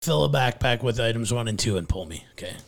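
A man's voice: medium pitch, animated, quick pace, vocal fry. A man hosting a podcast at home, chatting casually and joking, rambling with fillers. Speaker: podcast host. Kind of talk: casual chat.

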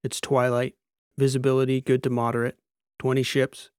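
A man's voice: mid-range voice, monotone, normal speed, darkish tone, clean tone, no room sound, studio quality, close to an everyday voice. A man voicing a commanding, demanding military examiner in an audiobook, with barked, clipped orders. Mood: brisk, commanding. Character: commanding one-eyed male military examiner, demanding, intense.

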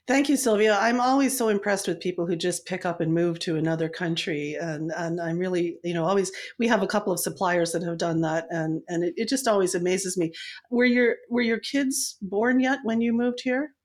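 A woman hosting a podcast interview, chatty and warm-hearted, often stumbling over words and correcting herself. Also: fairly low pitch, fairly quick. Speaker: podcast host.

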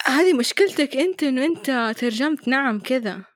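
A young woman co-hosting a podcast, lively and quick to retort in casual chat. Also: high voice. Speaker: podcast co-host.

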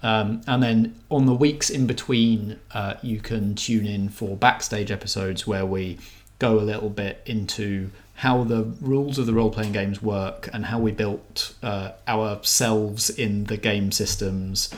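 A man's voice: lowish voice, fairly even intonation, measured pace. A man hosting a podcast and running a role-playing game, talking casually in hesitant, stop-start phrases. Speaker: podcast host and game master. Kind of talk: casual podcast chat and improvised game narration.

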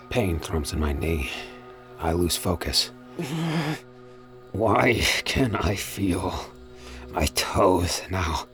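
An actor playing a weary, uneasy space pirate with a brooding manner, as in a first-person audio drama. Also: male voice, sits low, big pitch swings, very slow, long pauses, bright, forceful tone, exaggerated voice. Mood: pained, fearful. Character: weary, uneasy male space pirate narrating his ordeal. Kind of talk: audio drama acting and narration. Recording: music behind the voice.

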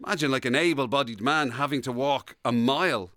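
A man's voice: medium pitch, brisk, a bit bright, no room sound, clean studio sound. An Irish man co-hosting a comedy podcast, bantering casually with teasing sarcasm. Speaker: radio and podcast co-host.

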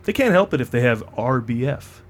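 A man's voice: mid-range voice, big pitch swings, a little fast. A man co-hosting a film review podcast in casual chat, setting up jokes and punchlines. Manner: joking, sets up punchlines.

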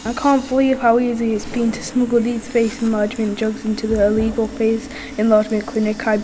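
Scheming snake-haired villain boss played by a woman, highish voice, boastful and theatrical, amateur radio comedy, noisy recording. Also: flat delivery, a little fast, mellow, natural voice. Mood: gloating. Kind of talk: amateur comic radio play acting.

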